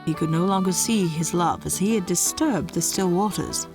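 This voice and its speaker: A woman giving a scripted storytelling narration in a measured, dramatic way. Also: lowish voice, a little mellow, soft background music.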